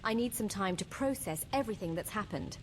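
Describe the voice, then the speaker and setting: A woman's voice: medium pitch, animated, a little fast, slight background noise, natural voice. A woman playing a guarded, emotionally wounded adventuring daughter in a fantasy game, formal and earnest in manner. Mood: sad, composed.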